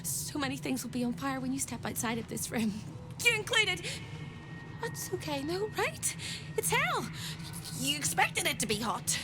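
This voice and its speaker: High-pitched manic captive, wildly swooping. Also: female voice, very animated, moderate pace, over loud music. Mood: manic, chirpy. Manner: theatrical, erratic, swinging from singsong to hushed. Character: manic, frightened captive woman, chirpy and unhinged after long torture. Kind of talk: video game character acting.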